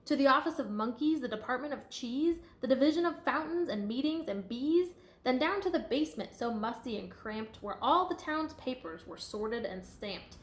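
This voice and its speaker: Lady reading a kids picture book out loud, kinda high voice, expressive, echoey room. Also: moderate pace, few pauses. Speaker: storybook reader. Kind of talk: children's picture book read-aloud with asides to listeners.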